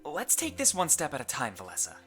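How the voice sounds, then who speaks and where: A woman voicing an earnest, protective and level-headed companion in a fantasy visual novel, speaking with sincere, measured reasoning. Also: very deep voice, wildly swooping pitch, fairly bright tone, soft background music, heavily acted. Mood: level-headed, firm.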